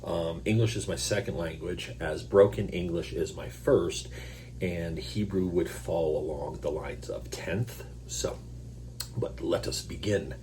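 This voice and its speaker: Low slow guy reading the bible w casual jokey asides, allergies, throat clearing, noisy humming recording. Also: darkish tone. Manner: casual, self-deprecating asides between verses. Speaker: host reading scripture. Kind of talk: Bible reading with informal commentary. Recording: noisy recording with electrical hum.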